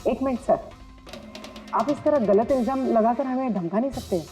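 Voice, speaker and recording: An actress playing a defensive, insistent lab employee in a TV crime drama. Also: female voice, on the high side, normal speed, dark tone, soft background music, lightly acted. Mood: indignant.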